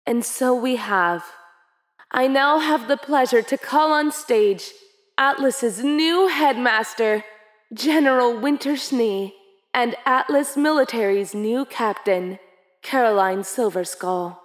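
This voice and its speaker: A woman voicing a poised female prime minister giving a formal, measured speech in an animated fan series. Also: fairly high pitch. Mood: stately. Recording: long hall reverb.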